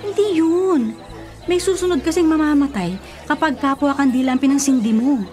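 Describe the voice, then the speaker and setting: A woman playing a bossy, superstitious older sister in a radio drama, scolding, insistent and quick to defend herself. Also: high voice, darkish tone, natural voice. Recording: prominent music behind the voice. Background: music.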